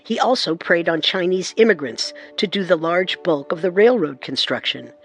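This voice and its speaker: A woman co-hosting a historical radio drama, giving measured, scripted documentary narration. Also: on the low side, expressive, faint music behind. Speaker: narrator and co-host.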